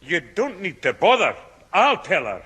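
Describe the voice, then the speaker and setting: Blustering grandfather, high swooping voice, scolding, flustered, slightly noisy old radio comedy recording. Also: huge pitch swings, measured pace, pushed and bright, full character voice. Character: exasperated, scolding grandfather, bossy and easily flustered. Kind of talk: 1960s radio sitcom acting.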